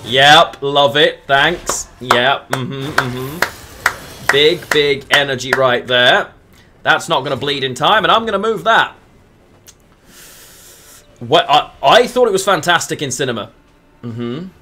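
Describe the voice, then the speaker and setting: A man's voice: mid-range voice, lively intonation, takes it slowly, pauses often, sharp tone. A man from England streaming a card game, thinking aloud between moves and answering chat casually with lots of murmured fillers. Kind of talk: casual live-stream chat while playing.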